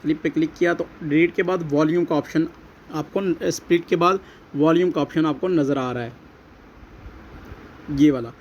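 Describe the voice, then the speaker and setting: Pakistani guy walking thru an app step by step, kinda high voice, muffled lo-fi audio. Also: normal speed, long pauses, darkish tone. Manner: repetitive explaining, addresses viewers as friends. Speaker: tutorial host. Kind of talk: step-by-step app tutorial narration. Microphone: voice-over recorded alongside phone screen recording. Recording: dull lo-fi audio, faint background noise.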